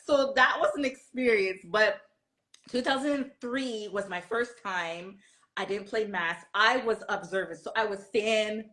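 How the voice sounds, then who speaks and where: A middle-aged woman from Toronto, telling stories animatedly in casual chat and acting out quotes. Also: a little high. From Toronto, Canada. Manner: animated storyteller, acts out quotes. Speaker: guest.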